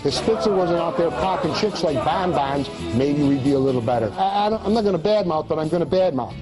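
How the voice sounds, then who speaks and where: A man's voice: fairly high pitch, expressive, a little fast, over loud music. A man delivering a blunt, opinionated rant in a brash, punchy way.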